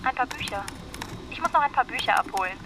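A woman's voice: a little high, expressive, quick pace, background noise, natural voice. An actress playing a playful, coy young woman teasing her sweetheart in a naturalistic German radio play. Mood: playful, evasive. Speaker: actress in a radio crime drama.

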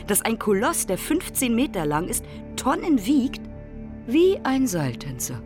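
Older guy who used to be a sailor telling sea stories, kind of in awe, rambles a bit, music playing behind. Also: high voice. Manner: discursive, marvelling, vividly descriptive. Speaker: former seaman recalling his voyages. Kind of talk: spontaneous spoken reminiscence in a radio feature.

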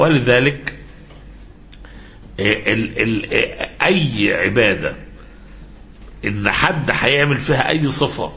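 A man with an Egyptian accent teaches religion in an informal lecture style. His medium-pitched voice has a creaky, gravelly grain, and he moves haltingly, prompting listeners with questions as he explains. A faint noise sits behind the recording. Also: a bit bright. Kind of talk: informal religious lecture mixing classical and colloquial speech.

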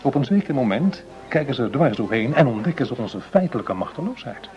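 An actor playing a cautious, calculating justice minister in a radio play, speaking in deliberate, formal phrases. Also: male voice, mid-range voice, sing-song, dull audio, faint music behind, lightly acted. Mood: grave, measured.

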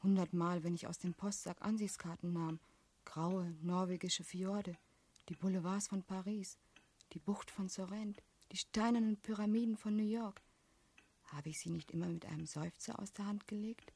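Young female clerk, radio drama: wistful, repressed, mellow breathy tone, intimate inner monologue. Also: medium pitch, steady tone, normal speed. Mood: wistful, brooding. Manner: breathy, half-whispered, brooding. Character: wistful, repressed 28-year-old postal clerk.

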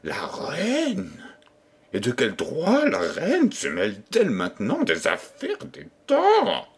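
A man's voice: medium pitch, big pitch swings, unhurried. A man voicing a flustered, vain and gullible old king in a storybook tale, with exclamatory, stammering speech. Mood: panicked, indignant.

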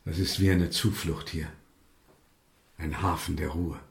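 Refined, solemn gentleman, low, roomy sound. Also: flat delivery, measured pace, creaky. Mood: solemn, reverent. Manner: formal, courteous, solemn. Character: refined, pious, courteous gentleman lodger in Victorian London. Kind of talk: German radio drama acting. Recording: roomy, echoing interior sound.

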